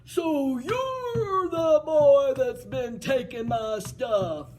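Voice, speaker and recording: A woman doing a booming, menacing giant. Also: animated, exaggerated voice. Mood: angry. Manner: loud, booming, drawn-out chant. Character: huge, menacing male giant.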